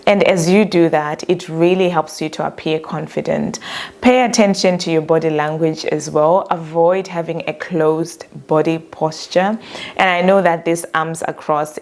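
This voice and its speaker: A woman career coach who gives direct, earnest advice about workplace communication and calls her own voice monotone. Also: sits low. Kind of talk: motivational talk to camera.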